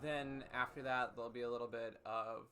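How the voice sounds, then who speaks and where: A man's voice: mid-range voice, fairly even intonation, fairly quick, fairly bright tone. A man co-hosting a livestream with casual comedic banter and a wry, campy way of joking. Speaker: livestream co-host. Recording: background music behind the voice. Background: music.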